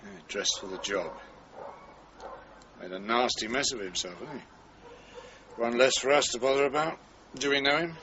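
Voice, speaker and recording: A man's voice: medium pitch, moderate pace, pauses often, a crowd in the background, natural voice. A man playing a middle-aged, cynical, wisecracking chief inspector in a naturalistic radio drama, with a blunt, bantering manner. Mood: brisk, low. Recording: dull 1980s radio drama recording, music.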